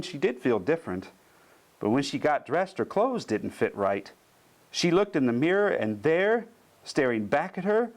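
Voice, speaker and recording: Guy reading a kids bedtime story, very lively up and down delivery, medium pitch. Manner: animated, dramatic emphasis. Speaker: storybook reader. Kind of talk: expressive children's bedtime story narration.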